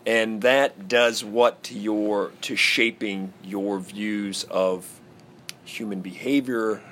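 A male interviewer asking long, halting questions that he rewords as he goes. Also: on the low side, steady tone, a bit bright, a little hiss or hum.

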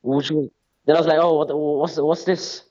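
A man's voice: on the high side, fairly even intonation, moderate pace, darkish tone. Hesitant young Englishman, dull lo-fi sound. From England. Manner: rambling, hesitant, many fillers and restarts. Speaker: guest, wrestler. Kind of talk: casual interview chat.

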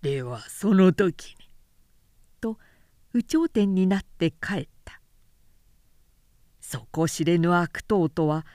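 A woman narrator reading literary fiction from a script in a measured, dramatic storytelling manner. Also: medium pitch, dark tone, no room sound, studio quality. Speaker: narrator, professional reader.

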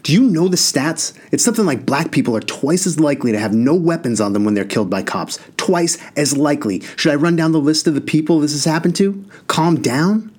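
A man's voice: on the high side, animated, slow, hardly any pauses, pitched above the performer's own voice. A man voicing an angry young man who is outraged on behalf of his younger brother, speaking in heated, rising outbursts. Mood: furious. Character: furious young man, protective older brother.

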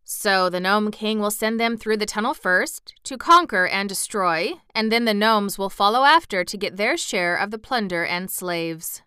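Woman voicing a courteous, anxious tin man, crisp and clear. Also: medium pitch, sharp tone, dry recording, studio quality, natural voice. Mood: grave, earnest. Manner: formal, measured, earnest. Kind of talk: storybook narration character voice.

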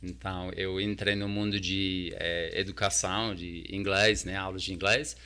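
A man with a fairly low but bright, forward voice, speaking as a talker. He chats casually as an interview guest, hesitant and often restarting with repeated words. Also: level tone, moderate pace, clean tone. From New Zealand. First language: English. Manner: hesitant, repeats words while searching for Portuguese.

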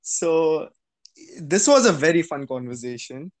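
A man's voice: fairly high pitch, lively intonation, unhurried. A young man hosting a podcast, asking rambling questions and reacting sympathetically. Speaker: podcast host. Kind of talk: casual interview chat.

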